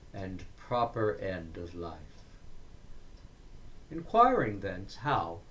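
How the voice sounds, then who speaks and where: A man reciting poetry in a deliberate, declamatory way, breaking the verse into short phrases with frequent pauses. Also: fairly low pitch, a little hiss or hum, rough recording. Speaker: poetry reader.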